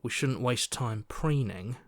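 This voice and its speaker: An actor playing an earnest, sensible young hero in a video game, level-headed and mildly sarcastic. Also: male voice, mid-range voice, slow pace, dry recording, studio quality. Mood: wary, exasperated. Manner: level-headed, dryly reproachful. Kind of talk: video game character acting.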